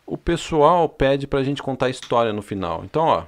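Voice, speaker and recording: A man's voice: medium pitch, big pitch swings, fairly quick. A Brazilian man hosting a casual podcast, chatty and promotional, often checking in with his co-host. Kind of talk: casual chat. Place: home.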